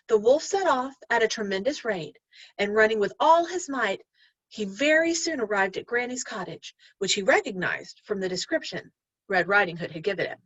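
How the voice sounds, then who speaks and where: Animated, husky woman reading a storybook. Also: a little high, no room sound, lo-fi sound. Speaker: teacher reading a story to pupils. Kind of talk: expressive storybook read-aloud.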